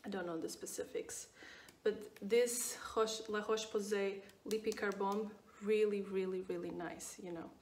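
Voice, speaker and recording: A woman's voice: medium pitch, steady tone, moderate pace, a little mellow, distant mic, a little hiss or hum. Portuguese-accented woman in an echoey room. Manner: chatty, repeats words, frequent fillers. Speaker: skincare vlogger. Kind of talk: casual product review.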